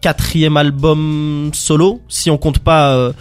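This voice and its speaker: A man presenting music release news in a casual panel talk, with a halting delivery full of filled pauses. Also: a little high, level tone, smooth.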